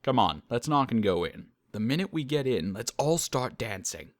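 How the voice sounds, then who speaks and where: Male actor as a practical young friend hurrying others along; animated, dry booth sound. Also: medium pitch, moderate pace, no room sound. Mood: urging. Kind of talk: audio drama acting.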